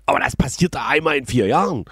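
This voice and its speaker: Husky-voiced German man chatting. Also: medium pitch, expressive, quick pace, a bit bright, no echo, clean studio sound. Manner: chatty, many fillers and restarts. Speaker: podcast co-host. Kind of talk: casual chat.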